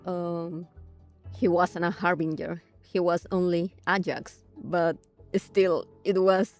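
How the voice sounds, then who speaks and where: A woman giving casual commentary on a game playthrough, thinking aloud in a halting way. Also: on the low side, flat delivery, a little mellow. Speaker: gaming streamer. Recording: game music behind the voice.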